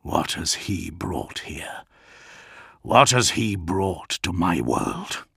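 A man voicing a noble, stern warlord in an audiobook, formal, measured and commanding. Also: mid-range voice, animated, creaky, a bit bright, no room sound, clean studio sound, heavily acted. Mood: troubled. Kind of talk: dramatic audiobook character.

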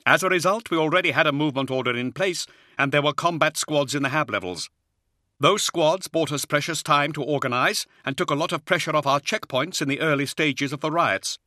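A man voicing a wiry male army colonel who reports in a loud, strained voice. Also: a little high, fairly quick, no room sound, clean studio sound, pitched above the performer's own voice. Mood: urgent. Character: urgent male soldier colonel, wiry, shouting.

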